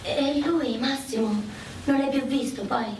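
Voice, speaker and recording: An actress playing a gentle, sorrowful widowed mother forced into wartime prostitution, earnest and hesitant in a radio drama. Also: high voice, measured pace. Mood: curious. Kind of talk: dramatic radio play dialogue. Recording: roomy, distant mic with faint noise.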